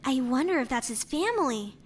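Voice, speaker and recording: Woman acting a high, lively young woman investigator. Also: a little fast, slight background noise. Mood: thoughtful, careful. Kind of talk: voice acting in an animated murder mystery.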